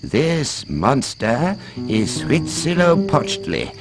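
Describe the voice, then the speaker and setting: An actor playing a mild, learned professor who explains ancient lore with hesitant little chuckles in a game cutscene. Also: male voice, mid-range voice, huge pitch swings, very slow, music in the background, full character voice. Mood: storytelling, intrigued. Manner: expository storytelling with hesitant chuckles. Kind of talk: scripted video game cutscene acting.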